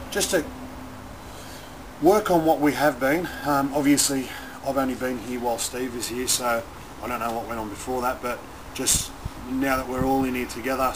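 Young man, Australian accent, laid-back athlete interview, halting conversational delivery, outdoors on camera mic, loud background noise. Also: medium pitch. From Newcastle, Australia. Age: young adult. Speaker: rugby league player. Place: open-air stadium stand by a running track. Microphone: camera mic a short distance away.

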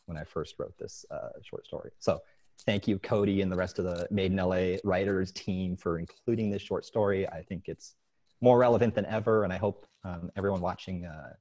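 A man's voice: sits low, fairly quick, dark tone, dull audio. A young man speaking as an author at an online reading of his short story, recorded on an earphone mic at home. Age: young adult. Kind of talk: informal talk and story reading at an online event. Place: living room at home, video call. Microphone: wired earphone mic on a video call.

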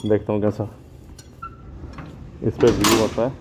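A man's voice: lowish voice, little pitch movement, measured pace, heavy background noise. Man chatting while riding a motorbike. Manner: rambling, thinking aloud. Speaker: motovlogger. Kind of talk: casual vlog chat. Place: outdoors riding a motorbike on the road. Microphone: phone mounted on the bike.

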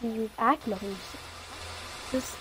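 Girl doing a mocking bossy kidnapper lady in a zombie roleplay, highish voice, noisy home recording. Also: slow. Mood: bossy, brisk. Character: scheming, mocking woman kidnapper in a zombie story. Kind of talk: amateur improvised game roleplay.

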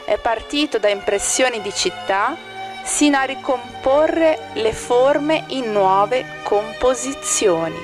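A woman with a fairly high voice reads a scripted commentary on an art exhibition. Her delivery is measured and formal, like a critic's text read aloud. A faint violin plays softly behind her. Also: animated, music in the background. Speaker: exhibition narrator.

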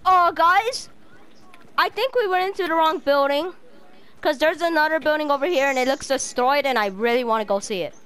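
A female player chatting in improvised game roleplay, excitable and bossy, on a home gaming mic. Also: sits high, a little fast.

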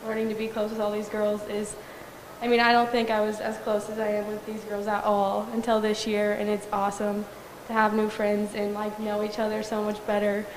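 Teen girl, monotone n sincere, roomy echo. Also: medium pitch, fairly quick, slight background noise. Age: teens. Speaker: cheerleader guest. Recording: echoey hall.

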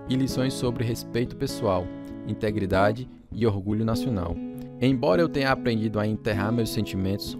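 A man giving scripted, dramatised first-person narration as a storyteller. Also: mid-range voice.

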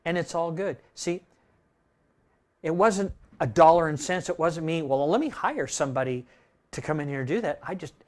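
Older man preaching, slightly high voice, casual tag questions, loud and soft swings. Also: normal speed. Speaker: pastor. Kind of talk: sermon. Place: church room with projector screen.

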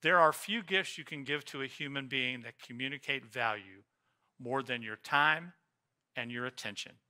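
An older male pastor delivers a sermon at the pulpit of a church sanctuary, into a pulpit microphone. His voice is very bright and ringing at a middle pitch. He builds to loud emphatic stretches and then drops to soft, quiet lines. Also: normal speed. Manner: animated preaching, rising loud for emphasis then dropping soft.

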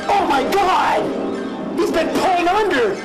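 A man's voice: very high-pitched, unhurried, over a noisy crowd. A man playing an earnest, easily frightened chief in a spoof radio drama, with melodramatic delivery. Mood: panicked. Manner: melodramatic, exclamatory. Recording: loud music under the voice.

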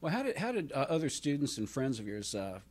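A man's voice: medium pitch, huge pitch swings, a little fast, darkish tone. A man interviewing a guest, asking polite follow-up questions from off camera. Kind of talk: oral-history interview questions.

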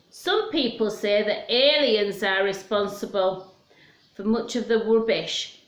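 A woman, presenting storytime and reading a picture book aloud to children in simple, questioning, child-directed talk. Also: mid-range voice. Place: small room at home, indoors. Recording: roomy, echoey home recording.

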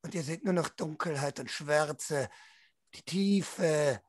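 A young man narrating a tabletop role-playing game as storyteller, with a theatrical, drawn-out, intense delivery into a close microphone. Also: a little high, dry recording. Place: small room at home, online video call.

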